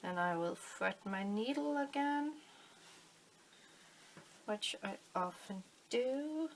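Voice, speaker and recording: A middle-aged woman from Denmark with a Danish accent, calmly explaining a fabric-flower craft at her table, thinking aloud with many fillers. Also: mid-range voice, takes it slowly, creaky, a little hiss or hum. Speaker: crafting channel host. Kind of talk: casual craft tutorial. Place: indoors at a table, hands on camera.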